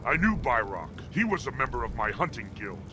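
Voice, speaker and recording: A man voicing a hearty, scheming orc hunting guild master in a fantasy game, with animated delivery. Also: medium pitch, fairly quick, background noise, close to an everyday voice. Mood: persuasive. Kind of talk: video game character dialogue.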